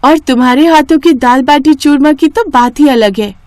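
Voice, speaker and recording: Woman as young cartoon girl, high voice, eager, earnest, persuasive, close dry sound. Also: normal speed, darkish tone, no echo, close to an everyday voice. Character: eager young daughter pitching ideas to her mother.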